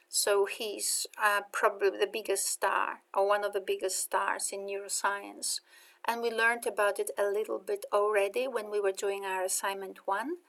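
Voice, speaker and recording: Polish-accented woman lecturing slowly. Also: medium pitch, fairly bright tone, rough recording. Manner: slow and deliberate, rhetorical questions. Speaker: university lecturer. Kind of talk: recorded lecture.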